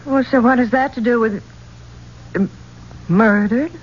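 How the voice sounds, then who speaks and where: An actress playing a spirited, curious singer with a wry, questioning manner in a radio drama. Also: female voice, on the high side, breathy edge. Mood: inquisitive, uneasy. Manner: wry, teasing, quick to question. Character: spirited, curious female singer seeking adventure. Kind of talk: 1970s radio drama acting. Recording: dull, noisy 1970s radio recording.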